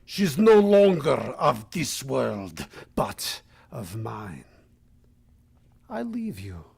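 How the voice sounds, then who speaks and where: Radio drama villain: an ominous male vampire, dragging pace, swooping pitch, possessive and deliberate. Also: big pitch swings, creaky, a little mellow, slight background noise, full character voice. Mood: menacing, triumphant. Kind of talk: vintage radio drama acting.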